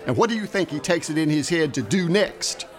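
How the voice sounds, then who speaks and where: An actor playing an excitable, folksy frontier mail rider spinning colorful gossip in a radio drama. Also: male voice, on the high side, natural voice. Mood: gleeful, gossipy. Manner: folksy, colorful drawn-out storytelling. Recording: faint music behind.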